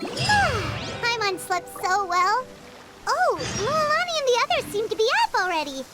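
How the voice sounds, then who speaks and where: A woman voicing a tiny, excitable fairy companion in a video game, who talks about herself in the third person, with game music underneath. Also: extremely high pitch, a little slow, exaggerated voice. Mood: startled.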